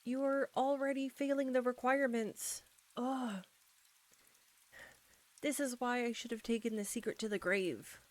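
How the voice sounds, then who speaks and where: A woman playing an embarrassed, anxious young roommate in an intimate audio roleplay, speaking softly and haltingly straight to the listener. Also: a little high, steady tone, natural voice. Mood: flustered, exasperated. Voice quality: soft. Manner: halting, flustered, nervous stumbling.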